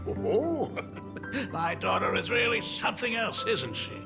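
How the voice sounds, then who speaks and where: A doting middle-aged father, bumbling and apologetic, with a gravelly edge to his voice. His pitch swings widely as he hesitates and fumbles for words. Game music plays underneath. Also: very animated, unhurried, full character voice. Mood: relieved. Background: game soundtrack music.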